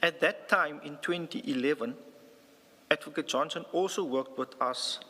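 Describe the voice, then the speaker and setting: Male South African police witness, formal and somewhat halting testimony, reverberant hearing-room sound. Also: on the high side, sharp tone. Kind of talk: formal testimony, partly reading a statement aloud. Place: large indoor hearing room.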